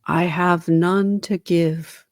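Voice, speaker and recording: A mournful ghost of a dead businessman, acted out by a woman for a storybook reading. The words are drawn out very slowly, swelling into anguished wails and sinking into breathy whispers. The sound is close and dry. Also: fairly low pitch, fairly even intonation, dry recording. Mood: bleak, weary. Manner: slow, drawn-out wailing delivery with breathy whispers.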